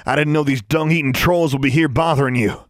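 A man voicing a fierce, protective older teenage boy wizard with a forceful manner in an audiobook. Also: on the high side, fairly even intonation, quick pace, no room sound, studio quality, voice pushed up, natural voice. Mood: concerned, breathless. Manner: forceful, roaring and snarling.

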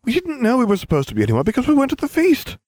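An eager know-it-all schoolgirl who rattles off explanations in a raised, quick voice, put on by a man reading a story. The reading is close and dry. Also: very high voice, wildly swooping pitch, darkish tone, no room sound, voice pushed up, heavily acted. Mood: earnest. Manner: rapid, earnest explaining.

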